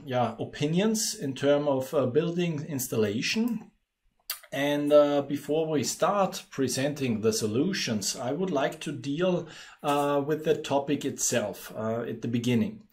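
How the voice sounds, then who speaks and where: A man's voice: a little high, lively intonation, unhurried, darkish tone. Austrian-accented man presenting a webinar. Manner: explanatory, many fillers and restarts.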